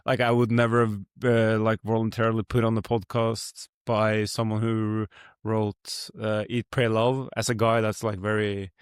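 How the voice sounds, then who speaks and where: A man's voice: on the low side, little pitch movement, normal speed, smooth, dry recording, clean studio sound. A young man from Norway with a Norwegian accent, hosting a podcast and musing in a rambling, reflective way with many fillers. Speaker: podcast host. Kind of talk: casual podcast conversation.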